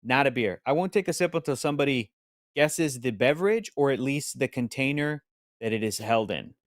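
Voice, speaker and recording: A man co-hosting a live-stream podcast in a loose, joking way, reading chat comments aloud. His voice sits at a middle pitch. The recording is close and dry, with no room sound. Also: animated, fairly quick, no echo. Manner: playful, teasing, reads viewer comments aloud.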